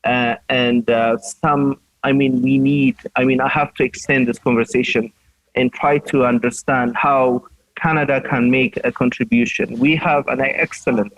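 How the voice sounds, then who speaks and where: A man's voice: medium pitch, fairly even intonation, normal speed, fairly bright tone, no room sound, lo-fi sound. A man from Ethiopia speaking as a journalist on a panel, earnest and hesitant with many fillers. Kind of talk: panel discussion remarks.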